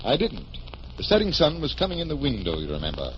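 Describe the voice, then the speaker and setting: Muffled old-time radio, genial magician sleuth, lively pitch, sure of himself. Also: male voice, quick pace. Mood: assured. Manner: crisp, assured explaining, showmanlike. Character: confident, genial stage magician detective. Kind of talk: 1940s radio acting. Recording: muffled, noisy vintage radio recording.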